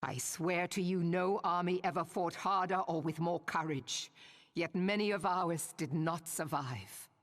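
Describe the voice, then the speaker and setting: An actress voicing a proud, solemn seafaring woman admiral in a video game, giving a measured, declamatory ceremonial speech. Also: on the low side, close to an everyday voice. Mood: solemn, resolute. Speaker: military leader addressing followers. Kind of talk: rousing ceremonial oration, video game voice acting. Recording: long hall reverb.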